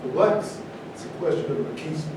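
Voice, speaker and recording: A distant, noisy recording with heavy echo carries an actor as a provocative, argumentative man with a high voice. He declaims his opinions as in a stage play. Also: fairly quick, natural voice. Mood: opinionated. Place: echoey room at a live staged reading. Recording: distant mic, very echoey room, noisy rumble.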